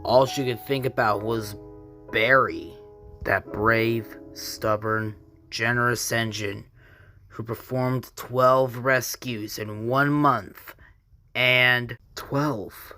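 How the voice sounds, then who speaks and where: Male narrator for a children's tale: measured, dramatic, unhurried read over a clear music bed. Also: mid-range voice, fairly even intonation, bright, forceful tone. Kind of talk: scripted children's story narration. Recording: prominent music behind the voice.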